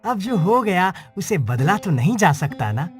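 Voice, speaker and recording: A man's voice: sits high, big pitch swings, a little slow. A man playing an upbeat, encouraging woodcutter friend in a cartoon story, with a hearty manner. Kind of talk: Hindi cartoon story dialogue. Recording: music under the voice. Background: music.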